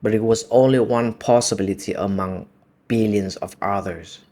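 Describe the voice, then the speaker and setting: A man with a Vietnamese accent whose native language is Vietnamese, talking in a calm, measured, reflective monologue. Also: lowish voice. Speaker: video essay host.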